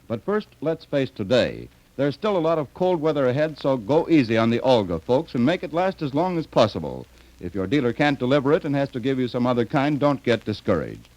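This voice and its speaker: A man's voice: medium pitch, very animated, brisk, darkish tone, no echo, low-quality recording. A man announcing in 1930s radio sponsor style, addressing listeners in a folksy way on an old recording.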